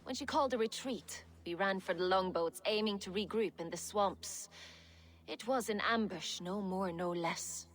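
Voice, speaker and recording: A woman's voice: medium pitch, moderate pace, close to an everyday voice. An actress playing a wry, opportunist Viking warrior woman in a video game, speaking dryly and frankly. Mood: matter-of-fact. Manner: dry, frank, unbothered. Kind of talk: dramatic game dialogue acting.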